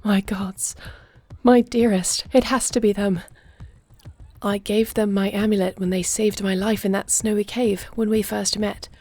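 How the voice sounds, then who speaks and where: A woman's voice: medium pitch, steady tone, unhurried, dark tone, soft background music, lightly acted. A woman playing a regal, anguished but resolute queen with formal, commanding diction in a fantasy audio roleplay. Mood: anguished, urgent.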